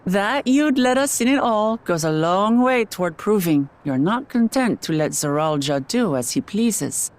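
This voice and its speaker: A woman's voice: mid-range voice, lively intonation, measured pace, few pauses, a little mellow, natural voice. A woman playing an earnest, determined young warrior in a video game, plainspoken and direct. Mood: resolute. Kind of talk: scripted video game cutscene acting.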